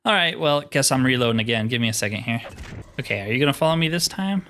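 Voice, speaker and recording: A man's voice: mid-range voice, lively intonation, quick pace, bright, forceful tone, slight background noise. A man giving casual, mildly exasperated commentary while playing a video game, thinking aloud as he goes. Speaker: gaming video host.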